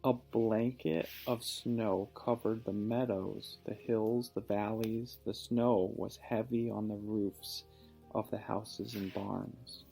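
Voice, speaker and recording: A man reads a children's story aloud at an unhurried pace in a soft-edged, mellow voice. He is patient and encouraging, stopping to explain or ask a question. Music plays behind him. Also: lowish voice, steady tone, creaky, slightly rough, poor audio. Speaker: father reading a picture book aloud to a child. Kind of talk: storybook read-aloud with teaching asides.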